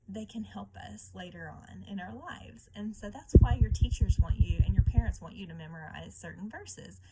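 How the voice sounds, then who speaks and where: A woman hosting a devotional read-aloud for children, talking casually and explaining gently like a teacher. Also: medium pitch. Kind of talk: casual devotional talk and story reading. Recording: clear background noise with clicks.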